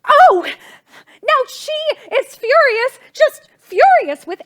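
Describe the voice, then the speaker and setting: A very high, bright voice: a woman acting an excitable society hostess in a stage farce. She is melodramatic and exclamatory, swooping widely in pitch and repeating her phrases as she gets overwrought. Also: slow pace, full character voice. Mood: distressed. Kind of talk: theatrical stage comedy acting.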